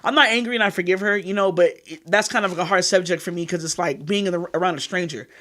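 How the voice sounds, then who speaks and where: A woman's voice: on the low side, fast, a bit bright. A woman in her thirties from California, talking frankly and at length as an interview guest. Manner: frank and rambling, leans on "you know what I mean". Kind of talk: candid interview conversation.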